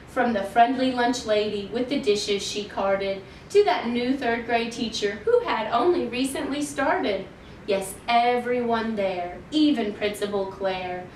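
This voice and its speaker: Roomy, slow-paced woman reading a children's story. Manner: measured, deliberate storytelling for young children. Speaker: children's library storytime reader. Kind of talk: expressive picture-book read-aloud in rhyme. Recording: roomy, distant mic, faint noise and rumble.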